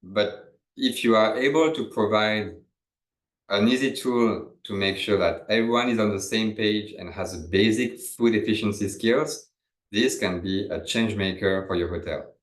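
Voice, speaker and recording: French-accented male webinar presenter, lowish voice, chatty and loose, echoey room. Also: measured pace. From France. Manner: loose and conversational, with fillers and restarts.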